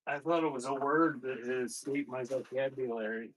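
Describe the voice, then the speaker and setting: A man with a medium-pitched voice hosts a casual live sale. He reads out items and drops in offhand, chatty remarks as he goes. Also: normal speed, slight background noise, rough recording. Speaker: online live-sale seller of vintage cassettes. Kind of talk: casual live-stream selling chat.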